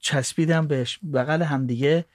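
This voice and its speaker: A man's voice: on the high side, fairly even intonation, racing, a crowd in the background. A man from Iran with an Iranian accent, a native Persian speaker, telling a personal story as an interviewee.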